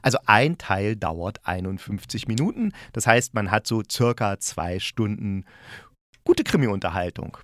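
A man's voice: on the low side, expressive, measured pace, fairly bright tone, no room sound, clean studio sound. A man hosting a casual spoken review, chatting informally and thinking aloud as he goes. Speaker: podcast host reviewing audio dramas.

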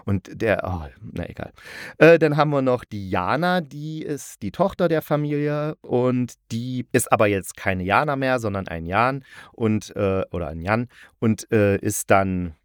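A man's voice: mid-range voice, lively intonation, unhurried, clear, resonant tone, no echo, studio quality. A man hosting a podcast about audio dramas, chatting casually and rambling a little, with wry, ironic asides. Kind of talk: casual solo podcast talk.

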